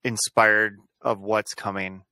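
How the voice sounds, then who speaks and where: Bright-voiced playful man co-host. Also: lowish voice, little pitch movement, takes it slowly, clear, resonant tone, no room sound. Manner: playful, laughs, hesitant fillers. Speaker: podcast co-host. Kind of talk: casual chat. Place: studio.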